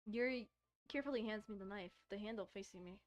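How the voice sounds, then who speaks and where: A woman's voice: medium pitch, quick pace, highs cut off, slight background noise. A woman gaming streamer doing casual let's-play commentary, reading a visual novel aloud and often stumbling and repeating words as she reads.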